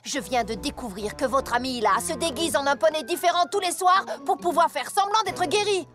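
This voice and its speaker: Une femme jouant une jeune jument franche, voix haute. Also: female voice, sits high, brisk, close to an everyday voice. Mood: indignant. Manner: frank, plain-spoken, concerned. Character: earnest, protective young adult big-sister mare. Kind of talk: animated cartoon dubbing. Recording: faint background music.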